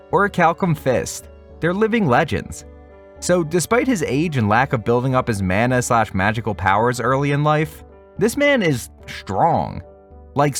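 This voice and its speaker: A man narrating a scripted review as host, with casual, wry and sarcastic asides. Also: on the high side.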